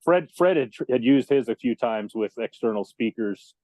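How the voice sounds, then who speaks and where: A man in his fifties reminiscing casually as an interview guest, halting and detail-minded, over a dull, lo-fi connection. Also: medium pitch, animated, darkish tone, dry recording. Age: 50s. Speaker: interview guest, audio electronics technician.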